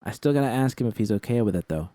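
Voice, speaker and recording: A man's voice: fairly low pitch, expressive, fast talker, mellow, no room sound, studio quality, voice pushed up, natural voice. A man voicing an earnest young woman technician, nervous but professional, hesitant and accommodating.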